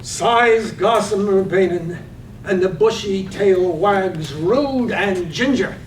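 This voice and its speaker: Slow-paced woman narrator in echoey room. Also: mid-range voice. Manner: deliberate, phrase by phrase. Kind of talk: poetic scripted narration, staged radio play reading. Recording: distant echoey room, faint noise.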